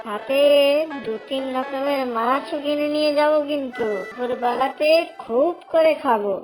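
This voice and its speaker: A man's voice: extremely high pitch, measured pace, over music, rough recording. A man voicing a cheerful, boastful young son-in-law in a family cartoon, with playful delivery. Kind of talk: cartoon voice acting.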